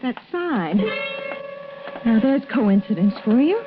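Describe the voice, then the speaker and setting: An actress playing a concerned, trusting wife in a 1940s radio drama. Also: female voice, fairly high pitch, very animated, takes it slowly, over music. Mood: light, surprised. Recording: dull, noisy vintage radio recording.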